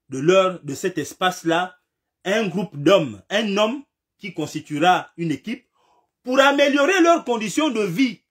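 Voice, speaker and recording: A man with a slight cold giving an impassioned political commentary, repeating himself and firing rhetorical questions, now and then rising to a shout. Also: high-pitched.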